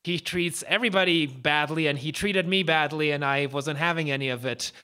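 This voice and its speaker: A man's voice: a little high, fairly quick, sharp tone, clear, resonant tone. A man voicing a defiant young woman in a folk tale, blunt and firm.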